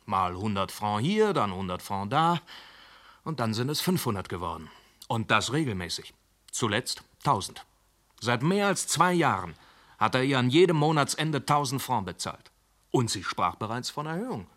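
Calm inspector, mid-pitched, measured and methodical, radio play. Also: male voice. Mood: reasoning. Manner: measured, reasoning. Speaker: police inspector. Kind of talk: radio drama acting.

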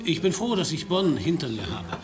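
A man's voice: on the high side, very animated, normal speed. An actor playing a dry, ironic male American radio reporter in a radio play. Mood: narrating. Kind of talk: radio play narration and dialogue.

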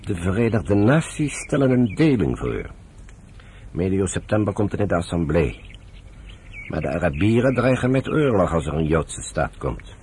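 A very deep-voiced British general in a radio drama, sober and devout. His delivery is earnest and measured, yet swings widely in pitch. Faint birdsong and noise sit behind him. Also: sing-song, a little mellow. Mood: grave, emphatic. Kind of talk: Dutch radio drama acting.

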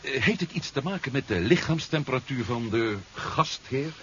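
A man playing an inquisitive, decisive ex-astronaut in a 1970s radio play. His pitch moves freely around a middle register. The recording is muffled. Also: expressive, normal speed, a bit bright, a little hiss or hum, close to an everyday voice. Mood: probing. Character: male former astronaut hero, inquisitive, decisive, dry-humoured. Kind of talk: 1970s Dutch radio drama acting.

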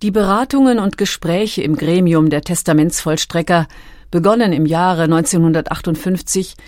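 A woman's voice: lowish voice, moderate pace, darkish tone, dry recording, clean studio sound. A woman reading scripted documentary narration. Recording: music behind the voice. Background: background music.

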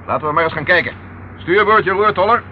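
An actor playing a brisk, wry, commanding police inspector in an old radio drama. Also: male voice, high-pitched, lightly acted. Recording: dull, noisy old radio recording.

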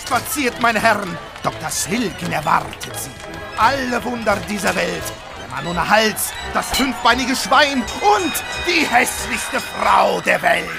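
High, creaky fairground barker. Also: male voice, lively intonation, music in the background, full character voice. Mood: showy, beckoning. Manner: showy, drawn-out crying of attractions. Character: theatrical fairground barker touting a freak show. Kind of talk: German radio play acting.

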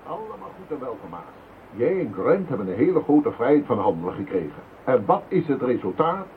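A man's voice: mid-range voice, big pitch swings, brisk, narrow, tinny audio, a little hiss or hum. An actor playing a stern, reproachful male police commissioner in a radio drama.

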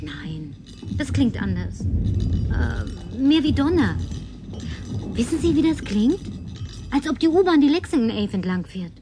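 A woman's voice: on the high side, very animated, unhurried, long pauses, over music. An actress playing a self-assured, flirtatious woman who likes a drink, in natural German radio play dialogue with a breezy, teasing, commanding manner. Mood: careless, tipsy.

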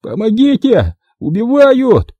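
A man acting a cowardly, indignant villain in an audiobook, yelling and whining hysterically. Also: very high voice, wildly swooping pitch, slow pace, dry recording, muffled recording, pitched above the performer's own voice, full character voice. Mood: panicked pleading.

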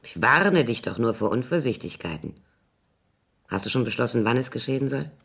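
Gravelly, low, sardonic older woman. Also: big pitch swings, brisk, fairly bright tone, heavily acted. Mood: sly warning. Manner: sardonic, drawling, theatrical. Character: sly older woman, mocking blackmailing reluctant accomplice. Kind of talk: German radio crime drama acting.

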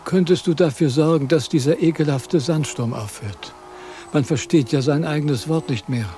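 Radio play lead, male actor: vain, self-pitying philosopher, mellow voice pitched a bit high, plaintive delivery. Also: normal speed, faint music behind, lightly acted. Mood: pleading, aggrieved. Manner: plaintive, lamenting, theatrical.

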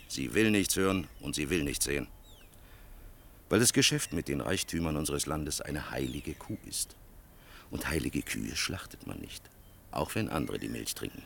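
A very deep, creaky older man's voice, playing a grave and weary official in a radio drama. He speaks in measured, sardonic phrases, over faint recording noise. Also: fairly bright tone, heavily acted. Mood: bitter. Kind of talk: German radio play acting, 1980.

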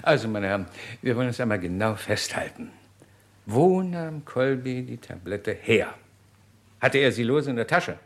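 Authoritative, probing police inspector. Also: male voice, mid-range voice, expressive, moderate pace, a bit bright. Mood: measured, probing. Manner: questioning, authoritative, clipped. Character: probing male police inspector, courteous but insistent. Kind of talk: German radio crime drama acting.

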